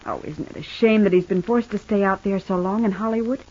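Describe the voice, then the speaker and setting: An actress playing a devoted, gentle wife recovering in hospital in a 1950s radio soap, speaking tenderly and earnestly. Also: female voice, mid-range voice, a little fast, lightly acted. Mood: brightly curious. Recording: dull, band-limited old radio recording.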